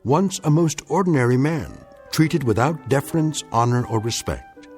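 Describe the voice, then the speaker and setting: A man as a measured, theatrical drama narrator. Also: medium pitch, animated, a little mellow, faint music behind, natural voice. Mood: ominous.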